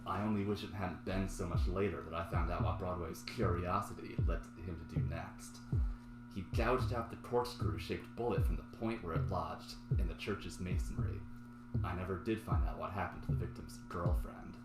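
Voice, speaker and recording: A man reading the narration of a weary female detective, in a subdued, low-key way. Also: normal speed, natural voice. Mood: somber. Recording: roomy echo with music behind.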